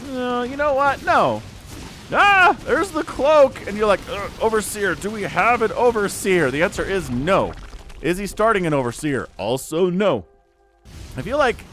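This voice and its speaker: A man casting a strategy video game match solo, excitable and jokey, asking himself questions and reacting as plays unfold. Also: high-pitched. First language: English. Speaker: esports caster. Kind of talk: solo play-by-play commentary.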